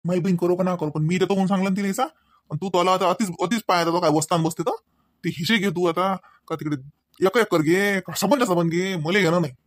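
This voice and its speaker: Man acting a high-pitched, proud, angry village husband. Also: fast, dry recording, studio quality, lightly acted. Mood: stern, defiant. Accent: Varhadi Marathi. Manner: scolding, firing off rhetorical questions. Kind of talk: amateur comedy cartoon dialogue.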